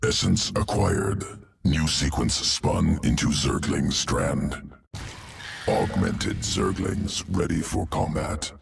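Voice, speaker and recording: A male alien creature from a video game that reshapes living flesh, his voice extremely low and gravelly. He talks in slow, clipped fragments with cold, clinical detachment. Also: expressive, exaggerated voice. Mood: detached. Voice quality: gravelly, very deep. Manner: terse broken grammar, detached and clinical.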